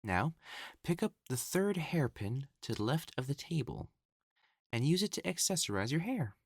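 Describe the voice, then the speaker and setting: A man's voice: fairly high pitch, very animated, normal speed, creaky, breathy edge, exaggerated voice. A man voicing an elegant, effeminate male matchmaker in a scripted audio roleplay, prim and formal, haughty yet easily flustered. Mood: haughty, instructive.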